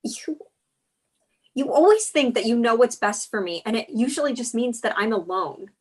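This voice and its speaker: A woman's voice: a little high, expressive, moderate pace, slightly rough. A woman playing a candid, exasperated young girlfriend with a wry manner in a comedy script table read. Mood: frustrated.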